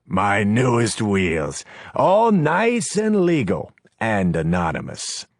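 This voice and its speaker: A man's voice: lowish voice, wildly swooping pitch, takes it slowly, no echo, clean studio sound, heavily acted. A man voicing a crude, scornful male gang leader who talks in a brash, mocking way. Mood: cocky.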